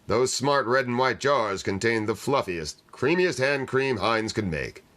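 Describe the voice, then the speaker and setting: A man playing a 1940s radio announcer, reading scripted copy in a measured, salesmanlike way. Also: mid-range voice, lively intonation, fairly bright tone. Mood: reassuring pitch. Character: smooth-selling male 1940s radio announcer. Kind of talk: scripted radio advertisement read.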